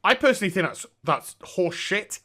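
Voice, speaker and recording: A man with a fairly high-pitched voice and a bright, forceful edge. He talks like an opinionated gaming commentator speaking off the cuff, blunt and emphatic, repeating key words for stress. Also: sing-song, normal speed. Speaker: gaming news commentator and streamer.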